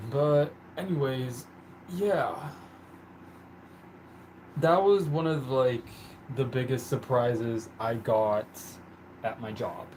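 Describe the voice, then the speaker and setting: Chatty male livestreamer, mid-pitched, with a faint room hum behind him. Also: extremely slow, pauses often, darkish tone, low-quality recording. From born in the country where he lives. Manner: chatty, rambling, with many "like" and "um" fillers. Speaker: livestream host. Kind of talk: casual livestream chat. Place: indoors at home, painting on the floor.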